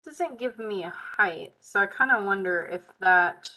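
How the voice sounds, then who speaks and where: Casting note: woman, hoarse and worn, bright tone, casual live tutorial host, chatty and muttering. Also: medium pitch, animated, unhurried, poor audio. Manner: chatty, Southern "y'all", muttering while working. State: tired, hoarse voice. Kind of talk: live screen-share tutorial, thinking aloud.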